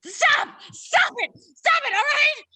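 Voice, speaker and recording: A woman's voice: very high-pitched, expressive, slow, bright, forceful tone, heavily acted. A woman playing a dry-witted label artist with a sardonic manner in a comedy table reading. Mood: panicked. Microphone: video call.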